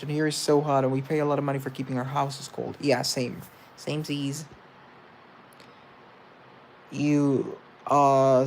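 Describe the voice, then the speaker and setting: A man's voice: medium pitch, gravelly, darkish tone, a little hiss or hum. A man chatting casually on a livestream, reading comments aloud and answering them with self-mocking humor. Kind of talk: casual live chat.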